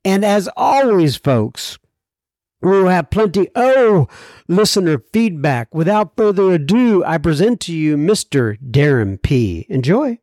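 A folksy American man hosts a podcast in a high-pitched voice. He rambles chattily through his introductions and questions, and the recording is close and dry. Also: sing-song, unhurried, a little mellow, dry recording. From United States. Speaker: podcast host. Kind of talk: casual podcast intro and interview.

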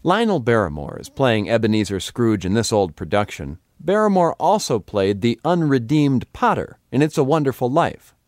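A man's voice: mid-range voice, sing-song, normal speed, a little mellow. A man hosting a radio program with scripted narration. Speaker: radio host.

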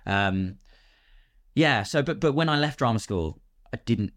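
A man's voice: mid-range voice, animated, measured pace, fairly bright tone, no echo, studio quality. A British man talking casually as a podcast guest, chatty and self-deprecating about himself. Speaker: guest, actor and filmmaker.

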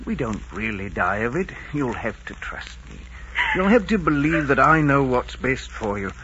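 Man acting sorrowful paternal innkeeper, mid-pitched voice, earnest, dull noisy old radio recording. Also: big pitch swings, moderate pace, close to an everyday voice. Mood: sorrowful resolve. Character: sorrowful, stern but loving father, an innkeeper. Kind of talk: 1940s radio drama acting.

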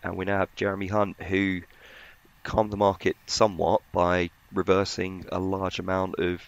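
A man's voice: deep voice, completely flat delivery, moderate pace, vocal fry. A man giving conversational market commentary as a journalist on a podcast, in slightly muffled audio. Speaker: financial journalist, podcast panellist.

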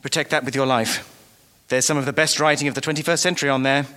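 An actor playing a vain, self-pitying writer from the past in live audio comedy, pompous and stammering when flustered, recorded on stage in an echoey hall. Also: male voice, mid-range voice, fairly even intonation, fast talker, sharp tone, lightly acted. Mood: grandiose.

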